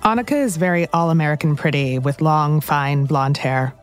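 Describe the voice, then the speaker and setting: A woman hosting a podcast, calmly narrating a scripted story. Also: low voice, moderate pace, faint music behind. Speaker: podcast host.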